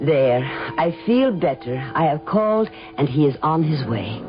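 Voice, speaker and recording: A woman's voice: low voice, sing-song, moderate pace, over music. An actress playing a cornered, proud and wary woman, speaking formally and precisely in a radio drama. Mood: anxious resolve. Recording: dull lo-fi radio recording.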